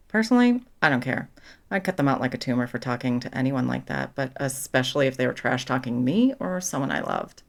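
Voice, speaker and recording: A woman's voice: a bass voice, vocal fry, full character voice. A young woman playing a caring, protective best friend in a conversational comfort roleplay, supportive and blunt. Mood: frank, supportive. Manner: casual, supportive, blunt, sweary.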